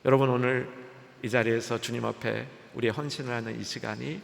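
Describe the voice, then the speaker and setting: Gravelly-voiced male preacher in a big hall. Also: medium pitch, fairly quick, slight background noise. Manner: animated preaching, acting out quoted voices. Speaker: pastor. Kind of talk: sermon. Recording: long hall reverb.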